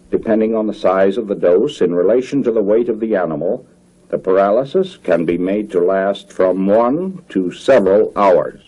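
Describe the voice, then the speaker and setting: American man, dark husky tone, formal documentary narration, measured phrasing, careful enunciation, 1950s educational film soundtrack. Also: on the low side, dull audio.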